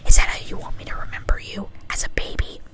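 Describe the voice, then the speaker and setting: A woman's voice: fast talker, lightly acted. A woman voicing a sadistic, cheerful female cartoon pony in whispered ASMR fan fiction, chiding and casually menacing. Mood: scornful chiding.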